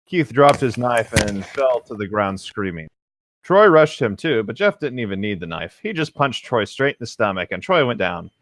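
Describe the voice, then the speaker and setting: A man's voice: medium pitch, very animated, moderate pace, fairly bright tone, a little hiss or hum, low-quality recording. A man co-hosting a comedy podcast, reading a story aloud and adding sardonic, casual commentary. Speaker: podcast co-host reading horror fiction aloud.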